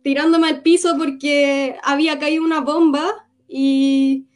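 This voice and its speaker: High-voiced earnest young woman. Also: flat delivery. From Gaza, Palestine. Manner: earnest, reflective, pausing to recall. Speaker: interviewee. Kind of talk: personal testimony.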